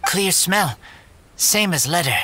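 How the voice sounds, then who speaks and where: A man voicing a blunt, loyal wolf-raised teenage boy in an anime video game, speaking in halting broken phrases. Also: high-pitched, lively intonation, sharp tone, full character voice. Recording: faint background music.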